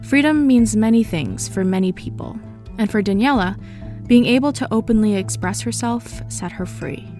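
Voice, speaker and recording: A woman's voice: medium pitch, animated, normal speed, a little mellow, faint music behind. A woman hosting a podcast, reading a composed, scripted introduction. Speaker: podcast host.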